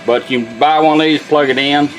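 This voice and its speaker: A man's voice: fairly high pitch, fairly even intonation, fairly quick, poor audio. A middle-aged man giving a casual, hands-on product demonstration in a folksy, plain-spoken, instructional way. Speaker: vintage car radio technician demonstrating a product. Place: indoor workshop bench. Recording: music and radio playing behind. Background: music from the demonstrated radio.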